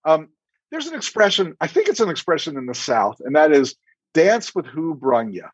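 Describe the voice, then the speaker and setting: A man's voice: fairly high pitch, big pitch swings, moderate pace, slightly rough, no room sound, muffled recording. A middle-aged man speaking as a podcast guest, giving emphatic, conversational advice and acting out imagined dialogue. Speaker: podcast guest, dentist and practice coach. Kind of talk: animated conversational advice with anecdotes.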